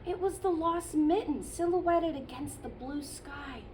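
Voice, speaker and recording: A childcare teacher, a woman, reading a picture book aloud to children in a gentle storytelling manner with playful asides. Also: high voice, slow, far from the mic, slight background noise.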